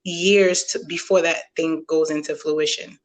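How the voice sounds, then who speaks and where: A woman's voice: low-pitched, steady tone, unhurried. A woman hosting a podcast interview, chatty and laughing often, acting out quoted reactions in casual talk. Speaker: podcast host.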